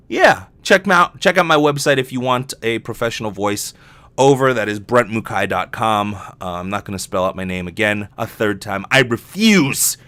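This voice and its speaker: A man's voice: medium pitch, sharp tone, clean tone. A man hosting a casual solo podcast, talking in a rambling, jokey, self-deprecating way with some swearing. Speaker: podcast host and professional voice actor.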